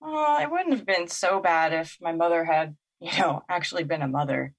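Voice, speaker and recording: A woman's voice: fairly low pitch, animated, normal speed. A woman playing a bitter, sarcastic young woman in a naturalistic audio drama, exasperated in manner. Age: young adult.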